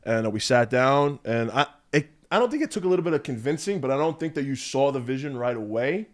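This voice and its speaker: Fast-talking young man, emphatic. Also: medium pitch, animated. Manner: fast, emphatic, heartfelt, swears freely. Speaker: podcast guest, clothing brand owner. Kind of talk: casual chat.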